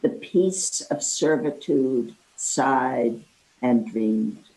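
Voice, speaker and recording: A woman reading a narrative poem aloud in a measured, deliberate way. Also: a bass voice, warm tone, low-quality recording. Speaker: poetry reader.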